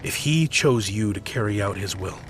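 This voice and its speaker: A man's voice: lowish voice, expressive, normal speed, background noise, lightly acted. A man playing a brooding, guilt-ridden soldier of a sun god in a video game, grim, terse and weary. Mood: solemn, doubting. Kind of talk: dramatic video game acting.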